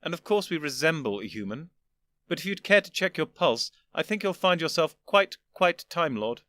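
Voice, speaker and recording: Man voicing an elderly gentleman, condescending, lecturing, weary patience, raised bright voice, storybook. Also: fairly high pitch, lively intonation, normal speed, no room sound, studio quality, pitched above the performer's own voice. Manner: precise, lecturing, wearily patient.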